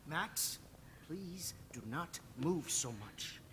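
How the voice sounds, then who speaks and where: An actor playing a menacing, condescending middle-aged teacher turned kidnapper in a video game, creepy and given to flaring rage. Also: male voice, a little high, expressive, drawn-out words, bright, forceful tone, heavily acted. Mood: smug, sinister. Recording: noisy game capture.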